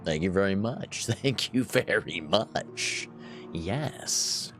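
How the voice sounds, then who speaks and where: A man in his thirties talks casually over a game, pitching low but swinging his voice up and down with plenty of life. He laughs easily and chats straight back to his viewers, while faint music plays underneath. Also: expressive, takes it slowly, fairly bright tone. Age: 30s. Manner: chatty, laughs often, answers chat. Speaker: gaming livestreamer. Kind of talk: casual live gameplay chat.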